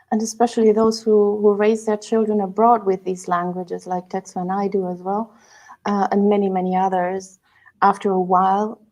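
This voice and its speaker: A woman co-hosting a live online interview, introducing the guest and asking questions in a measured way. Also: mid-range voice, darkish tone. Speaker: co-host.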